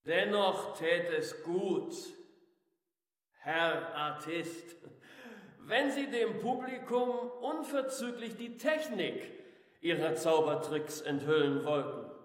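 An actor playing a pompous, imperious guest of honour in a radio drama, speaking in officious formal phrases with a sonorous voice. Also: male voice. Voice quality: sonorous baritone. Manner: stilted, officious formal speech. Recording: echoey hall with long reverb.

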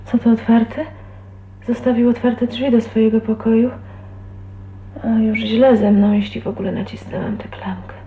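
Actress as hushed, heartbroken young woman, tinny recording. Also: fairly high pitch, level tone, moderate pace, natural voice. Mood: agitated, searching. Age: young adult. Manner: hushed, breathy murmuring to herself. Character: shy, heartbroken 29-year-old woman leaving her husband. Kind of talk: radio drama acting. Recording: tinny, band-limited 1980s radio recording, hissy.

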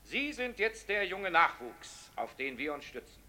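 Sharp, pompous male official. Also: sits high, sing-song, a little slow, exaggerated voice. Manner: declamatory, formal. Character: pompous transport official making a ceremonial speech. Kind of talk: radio play acting.

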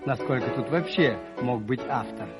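An actor playing an expressive gentleman storyteller, narrating in a literary manner for a radio play. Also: mid-range voice, normal speed, lightly acted. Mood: amused wonder. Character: animated gentleman storyteller recalling events. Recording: old radio play with loud music.

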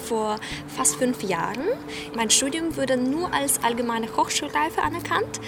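A woman from Ukraine with a Ukrainian accent, speaking German as a second language in careful, slightly halting phrases. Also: high-pitched, lively intonation. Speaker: job seeker at a graduate fair. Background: music.

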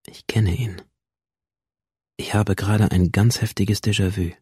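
Low husky troubled young man. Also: steady tone, a little slow, warm tone, dry recording, clean studio sound. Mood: dazed, uneasy. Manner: introspective, dramatic monologue delivery. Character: troubled young man narrating his unreal, slipping world. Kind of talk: radio drama first-person narration.

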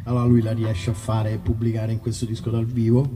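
A man's voice: on the low side, monotone, brisk. A man, a musician, chatting casually and enthusiastically between songs at a live concert, in informal, slangy language. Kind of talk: casual between-song stage talk. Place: outdoor live festival concert in a park. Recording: distant live concert, long reverb, music behind.

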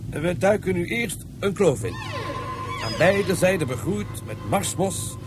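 An actor playing a polite, formal police inspector from Mars in a radio play, hesitating with small fillers. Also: male voice, high voice, big pitch swings, unhurried, music in the background. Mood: courteous. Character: polite Martian police inspector, middle-aged man. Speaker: inspector and tour guide.

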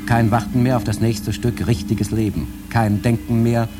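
A man's voice: mid-range voice, steady tone, moderate pace, over loud music, close to an everyday voice. A man playing a market researcher in a radio drama, reading a psychological study in a formal, matter-of-fact way. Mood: clinical. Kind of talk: scripted report reading in satirical radio drama.

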